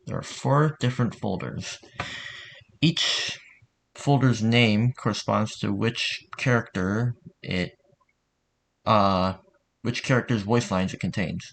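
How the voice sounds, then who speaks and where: A man with a fairly low voice walks through a tutorial step by step. He speaks informally and offhand, like someone casually showing a friend how it is done. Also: slow pace, gravelly, pauses often, a bit bright, poor audio. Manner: informal, offhand, mildly sweary. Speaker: tutorial narrator.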